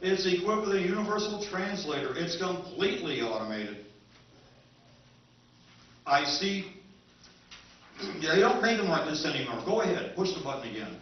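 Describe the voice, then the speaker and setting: A man playing a fast-talking junk dealer. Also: on the high side, sing-song, a little hiss or hum. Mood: persuasive. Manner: persuasive salesman patter. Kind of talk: live stage recreation of 1950s radio drama. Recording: echoey hall from far off, dull.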